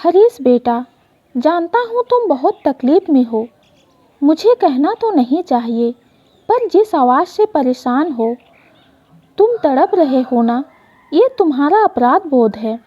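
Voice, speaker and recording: Woman voicing a slow, solemn elderly father. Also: high voice, big pitch swings, dark tone, highs cut off, heavily acted. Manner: solemn, moralizing. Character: grave elderly father admonishing his guilty son.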